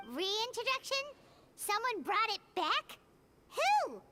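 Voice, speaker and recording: A woman voicing a tiny floating female companion in a game, who is curious and blunt and asks quick, incredulous questions in a squeaky voice. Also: very animated, bright, forceful tone, soft background music, full character voice. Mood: puzzled. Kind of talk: game voice acting.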